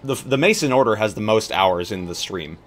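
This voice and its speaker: A man with a fairly low voice talks casually as a livestream co-host. Faint music plays behind him as he chats. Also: expressive, brisk. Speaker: stream co-host.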